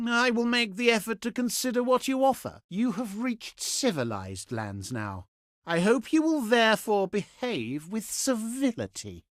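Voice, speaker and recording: A pompous ancient Etruscan statesman for a strategy game, cultured and condescending. His formal, theatrical delivery swings widely in pitch with deliberate emphasis. The sound is dry, with no room around it. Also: a bit bright, no room sound, full character voice. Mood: haughty, wary. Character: haughty ancient Etruscan male diplomat, pious, cultured and condescending. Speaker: faction diplomat in a strategy game. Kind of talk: theatrical scripted game voice acting.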